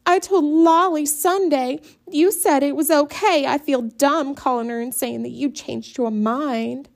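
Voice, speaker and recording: Brief: woman voicing a prim, bossy big sister, slow and sweetly drawn out. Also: high-pitched, steady tone, vocal fry, darkish tone, pitched above the performer's own voice. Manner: prim, syrupy sweet when wheedling.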